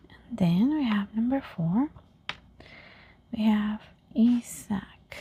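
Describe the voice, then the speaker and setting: Softly whispering woman teacher. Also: a little high, vocal fry, a little hiss or hum. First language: Spanish. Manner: soft whisper, repeats each word slowly. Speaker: language teacher. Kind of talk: whispered ASMR language lesson.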